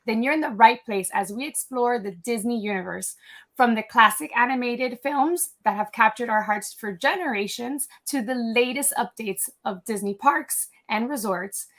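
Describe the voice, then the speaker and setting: Upbeat woman host with a higher voice. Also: a bit bright. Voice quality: upbeat, gushing. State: losing her voice, a bit cracky. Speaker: podcast host. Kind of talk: casual podcast chat.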